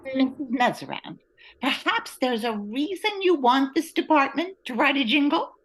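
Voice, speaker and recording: A woman's voice: high voice, animated, moderate pace, a bit bright, rough recording. A woman playing an obliging, eager-to-please office employee, speaking expressively in a radio comedy. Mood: polite. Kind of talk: old-time radio comedy acting.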